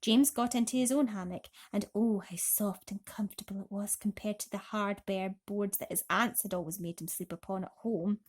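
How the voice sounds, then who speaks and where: A woman narrating a bedtime story for children as an expressive storyteller. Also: medium pitch, normal speed, few pauses.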